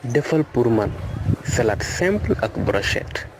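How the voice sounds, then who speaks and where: A man with a Senegalese accent acting a smooth-talking young suitor in a comic cartoon, switching between French and Wolof. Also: mid-range voice, very animated, takes it slowly, background noise. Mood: suave, courting. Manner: mixes French phrases into Wolof. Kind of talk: comic animated cartoon dialogue.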